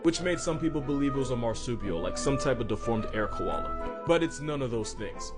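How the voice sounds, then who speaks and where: Fast-talking wry man narrating over music. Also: medium pitch, animated. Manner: wry, slangy, sarcastic. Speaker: animal facts narrator. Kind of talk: comedic scripted narration. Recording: music behind the voice. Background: background music.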